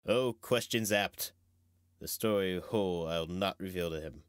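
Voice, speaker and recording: A man playing a wise, grave old knight and mentor in a measured, theatrical Shakespearean reading. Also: low voice, no echo, clean studio sound.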